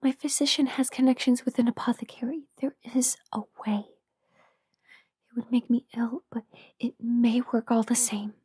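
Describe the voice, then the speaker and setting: A woman playing a young, proud but distraught queen in a historical romance audio roleplay, speaking in a halting, emotional way. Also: fairly high pitch, steady tone, darkish tone, breathy edge, no echo, clean studio sound. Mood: hushed, torn.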